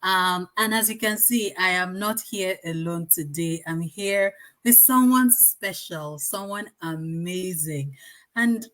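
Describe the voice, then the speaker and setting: A woman's voice: lowish voice, slow, a bit bright. A woman hosting a casual live online interview, chatty and encouraging with her guest.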